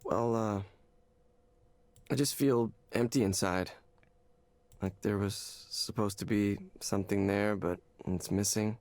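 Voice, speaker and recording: A man's voice: fairly low pitch, fairly even intonation, slow, pauses often, a little mellow, dry recording. A man acting a despairing, hollow office worker in a video game, confiding in a hesitant, anguished way. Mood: hollow, dejected.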